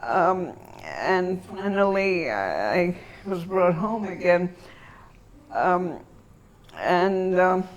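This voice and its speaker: An elderly woman from Antwerp whose first language is German, reminiscing in an interview and searching for her words with long pauses. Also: fairly low pitch, lively intonation, dull audio. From Antwerp, Belgium.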